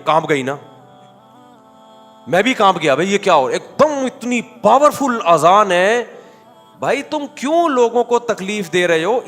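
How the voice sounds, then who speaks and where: A man preaching in a conversational, animated way, telling anecdotes with quoted dialogue and rhetorical questions. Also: sits high, long reverb tail. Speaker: Islamic preacher. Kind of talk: conversational religious talk.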